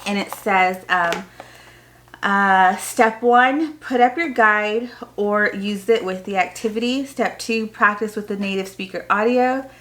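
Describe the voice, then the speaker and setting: Female homeschool-parent vlogger, average pitch, casual show-and-tell delivery with plain explanations. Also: a little slow, fairly bright tone, slight background noise. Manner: informal, explanatory. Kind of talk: casual unboxing show-and-tell, reading printed items aloud.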